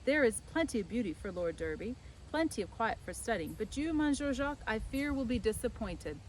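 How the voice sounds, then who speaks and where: A woman's voice: on the high side, very animated, a little fast, slight background noise, rough recording. A woman voicing a modest, courteous Italian innkeeper, a hesitant and apologetic man in a storybook.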